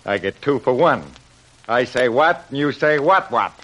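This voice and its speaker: An American actor playing a wisecracking, bossy gangster underboss in an old radio adventure serial. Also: male voice, mid-range voice, lively intonation, normal speed, fairly bright tone, poor audio. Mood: interrogating. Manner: sardonic, bossy.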